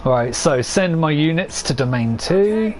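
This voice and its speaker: A young man giving rambling, casual commentary to his chat as he plays, laughing often at his own jokes and a little hoarse from losing his voice. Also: on the high side, lively intonation, measured pace, darkish tone. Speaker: streamer.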